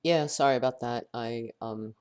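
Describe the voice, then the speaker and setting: A man with a head cold and a voice that is starting to go talks through a software tutorial. He thinks aloud, pausing and restarting his sentences as he works, at an ordinary middle pitch. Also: clean tone. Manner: thinking aloud, halting and self-correcting. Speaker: software instructor. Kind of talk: screen-recorded software tutorial.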